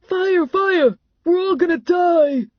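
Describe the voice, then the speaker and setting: A woman voicing an excitable, earnest, hapless man in a comic audiobook story. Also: mid-range voice, a little fast, breathy edge, no echo, muffled recording, pitched above the performer's own voice. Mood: panicked.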